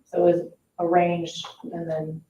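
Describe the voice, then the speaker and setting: A woman's voice: fairly low pitch, completely flat delivery, measured pace, dark tone, slight background noise. A woman explaining things informally and with some hedging at a board meeting, as a parks staff member. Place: indoor public board meeting room. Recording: echoey meeting room, distant dull mic.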